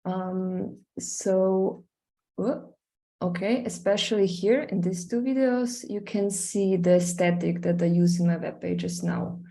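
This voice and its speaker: Hesitant Slovenian woman, slow and gravelly. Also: on the low side, warm tone. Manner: hesitant, many fillers and restarts. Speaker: visual artist presenting her work. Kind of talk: online screen-share presentation.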